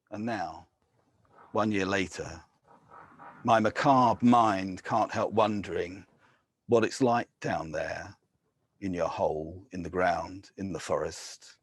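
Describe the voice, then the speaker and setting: An English man giving a measured poetry reading, with soft, hesitant asides between poems. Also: on the low side, slightly rough, no room sound. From England. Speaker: poet.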